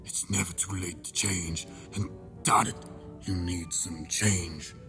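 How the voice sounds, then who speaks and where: A man's voice: low voice, fairly even intonation, takes it slowly, pushed and bright, exaggerated voice. A man playing a pompous, sentimental crab detective who narrates his log in a grandiose, melodramatic comedy audio drama style. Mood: scolding. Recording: music behind the voice.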